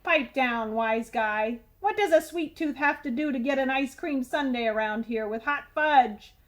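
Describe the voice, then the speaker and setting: A woman acts out a pushy storybook tooth with a very high voice, mad for sweets. It wheedles, pleads and complains with big melodrama, every line mouthy and overblown. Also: measured pace. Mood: bossy. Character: whiny, bossy sugar-craving talking tooth, wheedling and dramatic.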